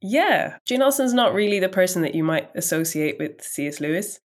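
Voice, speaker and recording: A woman guesting on a podcast, chatty and laughing between thoughts, a little raspy from a summer cold. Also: sits low. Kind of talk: casual conversation.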